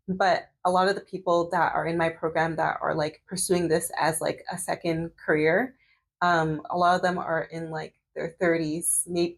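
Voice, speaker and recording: A woman in her thirties vlogging while doing her hair, chatting casually with lots of fillers and restarts. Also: lowish voice. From Canada. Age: 30s. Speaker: medical student and vlogger.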